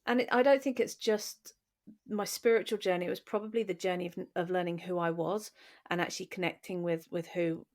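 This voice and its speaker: A woman's voice: on the low side, steady tone, fairly quick. A woman in her forties with an English accent, co-hosting a podcast and candidly telling her own story in a reflective way. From England. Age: 40s. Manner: reflective, candid, restarting phrases. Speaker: podcast co-host. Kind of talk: personal storytelling in conversation.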